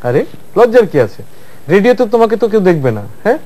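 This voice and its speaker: An actor with a Bangladeshi accent playing a glib, teasing bogus inventor in a scripted comic interview. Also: male voice, sits high, sing-song, fast, dark tone. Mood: teasing, encouraging.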